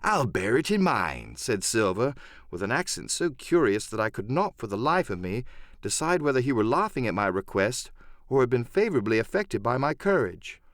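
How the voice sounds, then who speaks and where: A man's voice: mid-range voice, very animated, normal speed, dry recording, clean studio sound. A man narrating a story as a scripted, dramatic audiobook reading.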